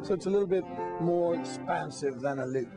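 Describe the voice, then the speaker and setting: A man's voice: a little high, a little fast. A man, a festival minstrel, chatting informally and explaining things to an interviewer. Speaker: lute-playing minstrel at a Renaissance festival. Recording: loud plucked string music behind. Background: plucked string instrument playing.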